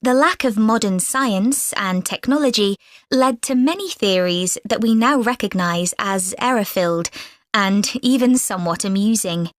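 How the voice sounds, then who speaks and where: A woman giving a scripted student presentation in clear, measured speech, recorded for a listening exam. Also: mid-range voice, expressive, no room sound. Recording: dry studio voice recording.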